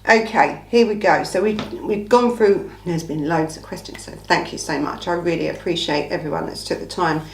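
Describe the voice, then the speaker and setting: A woman from England hosting a casual true crime Q&A, her voice croaky from a cold, talking in a blunt, chatty, opinionated way. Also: fairly low pitch, moderate pace, few pauses, echoey room, recorded from a distance, a little hiss or hum. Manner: chatty, blunt, opinionated, says "listen" often. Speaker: true crime channel host. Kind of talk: casual Q&A monologue.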